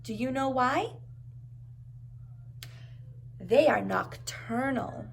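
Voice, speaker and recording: A woman's voice: sits high, expressive, drawn-out words, creaky, a little hiss or hum. A woman reading a storybook aloud to young children like a preschool teacher, adding encouraging asides and simple questions.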